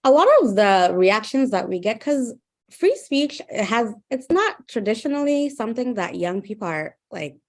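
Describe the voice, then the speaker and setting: A woman's voice: on the high side, lively intonation, moderate pace. A young woman from Uganda, a guest speaker in a webinar conversation, talking thoughtfully with many ums and restarts. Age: 20s.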